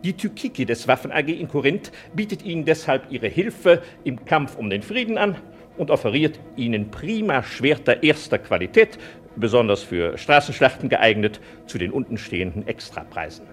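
Animated, oily arms boss. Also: male voice, mid-range voice, moderate pace. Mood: pompous, unctuous. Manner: pompous, oily, businesslike. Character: gleeful, hypocritical arms manufacturer dictating a sales letter. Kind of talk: satirical German radio play acting.

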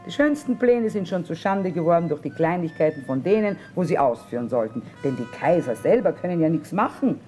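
An actress playing a shrewd, hard-bitten middle-aged war trader in a radio drama, earthy and plain-spoken. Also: female voice, low-pitched, expressive, a little fast, hardly any pauses, mellow, faint music behind. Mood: sardonic musing. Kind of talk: stage-style German radio drama acting.

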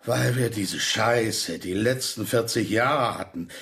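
A man's voice: on the low side, takes it slowly, fairly bright tone. An actor playing a stubborn theatre director who argues insistently and defends his compromises, in a radio drama. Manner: insistent, defensive, swears when heated. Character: stubborn middle-aged male theatre director.

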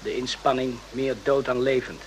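An actor playing an agitated, outraged soldier in an emphatic radio drama style, repeating himself and swearing. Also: male voice, mid-range voice, fairly even intonation, moderate pace, slight background noise, lightly acted. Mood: sombre, recollecting. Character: agitated, morally outraged soldier in the Vietnam War.

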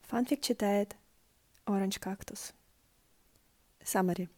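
A woman reading fan fiction aloud as an expressive audiobook narration. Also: mid-range voice, slow, dry recording.

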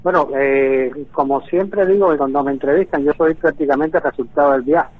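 A man in his sixties, born in Venezuela, speaking by phone from Havana in a measured way with frequent hesitations. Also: fairly high pitch, no echo. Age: 60s. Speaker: guest. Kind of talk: phone interview. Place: in Havana, Cuba. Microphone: telephone. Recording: tinny phone line.

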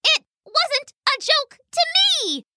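A woman voicing a small, indignant male mouse in a children's story, with a thin, squeaky, protesting voice. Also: sing-song, measured pace, bright, forceful tone, no echo, clean studio sound, heavily acted. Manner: protesting, shrill.

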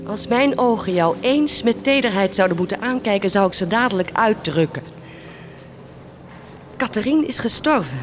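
A woman's voice: mid-range voice, expressive, moderate pace, background noise, close to an everyday voice. An actress playing a proud, compassionate woman of the poor in a Dutch radio play, solemn and impassioned. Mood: cold, grave.

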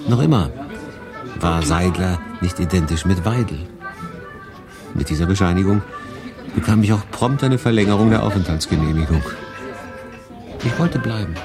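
An actor playing a wry, guarded refugee telling his story in a radio drama, in measured, laconic phrases. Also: low-pitched, animated, over music. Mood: reflective, wry. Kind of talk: radio drama narration and dialogue.

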